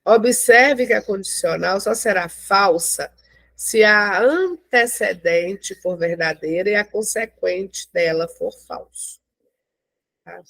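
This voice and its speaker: A woman's voice: medium pitch, creaky, fairly bright tone, no room sound, poor audio. A woman teaching a math lesson, explaining step by step and often checking in with her listeners. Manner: explains slowly with frequent check-ins. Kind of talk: classroom lecture.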